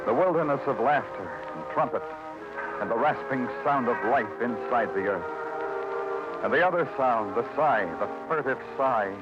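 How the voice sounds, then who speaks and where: An actor playing a world-weary, hard-boiled New York police detective in a 1950s radio crime drama, speaking in a clipped, terse, commanding way. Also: male voice, medium pitch, expressive, measured pace, close to an everyday voice. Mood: brooding. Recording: muffled vintage radio recording, loud music.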